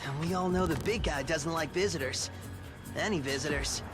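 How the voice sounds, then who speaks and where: A man voicing a laid-back, goofy mutant turtle who talks in excitable surfer slang. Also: on the high side, moderate pace, loud music behind the voice, close to an everyday voice.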